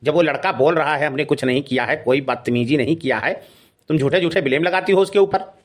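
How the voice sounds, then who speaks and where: A man's voice: a little high, fairly quick, natural voice. A man voicing an officious but reasonable village policeman who questions and lectures in a comedy cartoon. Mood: mildly indignant.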